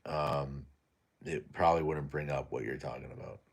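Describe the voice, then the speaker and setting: Young male streamer, very low soft voice, relaxed low-energy delivery. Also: normal speed, gravelly, slightly rough. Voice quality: soft-spoken. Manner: tired, low-energy, laid-back. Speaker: live stream host. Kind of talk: casual live stream chat reading viewer comments.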